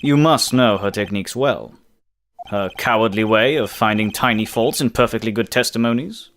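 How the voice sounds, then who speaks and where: A man's voice: on the low side, moderate pace, fairly bright tone. A man voicing a smug, arrogant young male prosecutor who speaks in a cutting, condescending way. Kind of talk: fan dub game voice acting. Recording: with background music.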